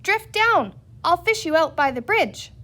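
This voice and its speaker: Woman doing a young girl, plucky, mischievous, very high pitch, commanding, storybook adventure. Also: big pitch swings, a little slow, pushed and bright, exaggerated voice. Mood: urgent, calling.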